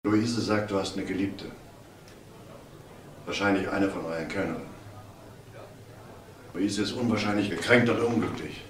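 Actor as earnest man, lowish, echoey, distant. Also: normal speed, long pauses, close to an everyday voice. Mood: reproachful. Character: reluctant, earnest brother-in-law, reproachful. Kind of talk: dramatic dialogue acting. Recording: echoey, distant, clear noise.

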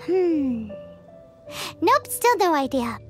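A woman voicing a tiny, cheeky, excitable fairy companion in a video game, with a squeaky childlike voice. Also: extremely slow, exaggerated voice. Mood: upbeat, pondering. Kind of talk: video game character acting. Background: music.

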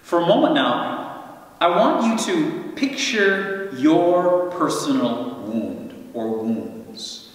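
Slow, reflective priest in an echoing church. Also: male voice, a little high, very animated. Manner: slow, reflective and guiding, with long pauses. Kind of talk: Good Friday homily. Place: large church sanctuary. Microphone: stand mic some way off, filmed by a far camera. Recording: roomy church reverb, voice from a distance.

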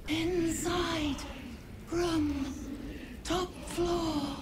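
A woman voicing the spirit of a dead woman speaking through her corpse in broken, halting words. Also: extremely high pitch, fairly even intonation, noisy background, full character voice. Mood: eerie. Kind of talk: video game voice acting.

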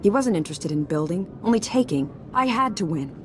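A woman playing a proud, resolute middle-aged senator in a video game, formal and measured. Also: medium pitch, expressive, natural voice. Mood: earnest, defiant. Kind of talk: video game cutscene acting. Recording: game cutscene with clear ambient noise.

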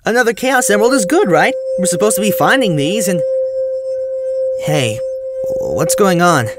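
A woman's voice: medium pitch, expressive, normal speed, loud music behind the voice, close to an everyday voice. A woman playing an earnest, protective young hero in an audio drama, speaking insistently. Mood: puzzled.

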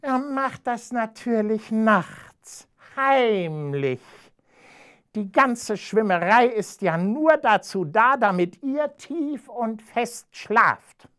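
A storyteller voicing a spiteful, scheming female cat in a children's storybook, with a sneering, drawn-out delivery. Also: medium pitch, expressive, fairly bright tone, voice pushed up. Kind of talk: storybook character voice.

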